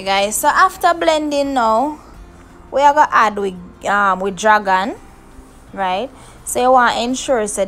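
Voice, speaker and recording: A woman hosts a home cooking tutorial, explaining each step in a chatty, friendly way and checking in with her viewers. Her voice sits at an ordinary middle pitch. Faint music plays underneath. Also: a bit bright. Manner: chatty, repeats "okay, guys" and "right?". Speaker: cooking show host.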